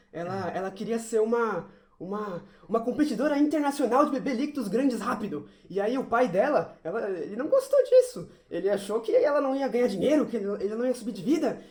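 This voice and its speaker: A woman playing a naive, excitable, scatterbrained male amateur sleuth who rambles and repeats himself in a comic audio drama. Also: on the high side. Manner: rambling, repetitive, dramatic storytelling. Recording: roomy, echoey sound.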